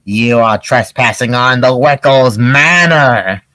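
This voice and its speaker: A reader voicing the threatening doorbell recording of a grumpy old man, speaking slowly. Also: medium pitch, sharp tone, no echo, pitched below the performer's own voice. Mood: menacing. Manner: slow, threatening.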